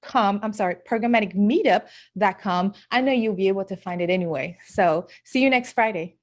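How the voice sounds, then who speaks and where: A woman coach giving a chatty, upbeat promotional spot for her online community. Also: brisk. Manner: upbeat, chatty sales pitch.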